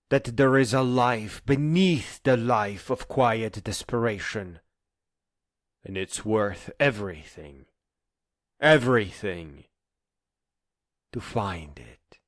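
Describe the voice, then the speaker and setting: A man delivering a dramatic spoken-word monologue in an earnest, emphatic manner that moves between hushed and insistent phrases. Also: mid-range voice, dry recording, clean studio sound.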